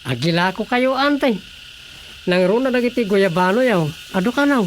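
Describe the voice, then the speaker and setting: A curious young visitor played by a woman in a family radio drama. The voice is medium in pitch, recorded over light ambient noise. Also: expressive, moderate pace, natural voice. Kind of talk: Ilocano radio drama acting.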